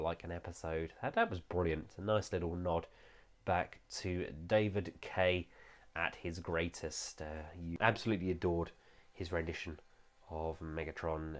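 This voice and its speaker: A man with a nasal voice, recovering from a cold, giving an enthusiastic, chatty toy review with frequent "uh" fillers. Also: low-pitched. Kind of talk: casual product review.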